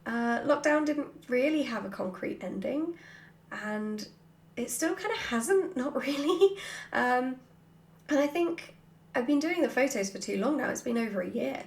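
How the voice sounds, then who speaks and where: A woman vlogger answering questions off the cuff in an animated, informal, jokey way. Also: sits high, measured pace. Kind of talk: casual chatty Q&A, off the cuff. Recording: roomy, echoey sound.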